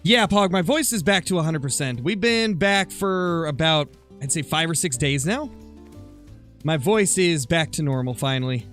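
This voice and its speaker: Higher-pitched man chatting on a gaming livestream. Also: lively intonation, normal speed, fairly bright tone, faint music behind. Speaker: live streamer, gamer. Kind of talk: casual livestream chat over gameplay, answering viewer comments.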